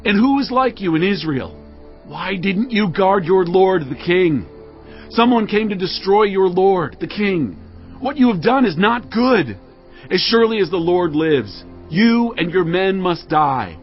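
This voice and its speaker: An actor playing a bold, earnest, righteous warrior leader in a dramatized audio Bible, with emphatic, declamatory delivery. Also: male voice, high voice, expressive, measured pace, muffled recording, faint music behind. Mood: shouted reproach.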